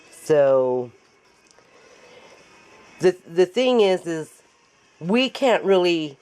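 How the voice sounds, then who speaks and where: A woman with a raspy voice after an illness, chatting and joking as she demonstrates a paper craft in her home craft room. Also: low-pitched, a little mellow. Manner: chatty, joking, laughs often. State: recovering from illness. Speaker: craft tutorial host. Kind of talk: casual chat while demonstrating a craft.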